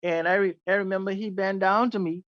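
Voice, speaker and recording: A man's voice: high-pitched, steady tone, fairly quick, dry recording. A man with a Bahamian accent, a jazz trumpeter telling stories as an interview guest, rambling with many fillers. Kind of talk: casual storytelling interview. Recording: dull, dry lo-fi sound.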